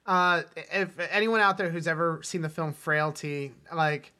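A man co-hosting a casual film discussion, emphatic but often halting as he searches for words. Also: on the high side, pushed and bright. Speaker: podcast co-host.

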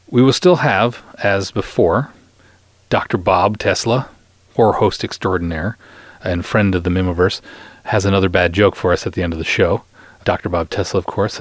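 A man's voice: sits low, dry recording. A middle-aged man hosting a podcast in a casual, playful, teasing manner. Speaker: podcast host and interviewer. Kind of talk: casual hosting and light interview.

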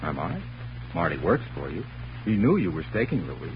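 A man's voice: sits low, big pitch swings, normal speed. An actor playing a cocky, unflappable tough guy in a 1940s hardboiled radio drama, slangy and sardonic, teasing with endearments. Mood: needling. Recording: muffled old radio recording, faint hiss.

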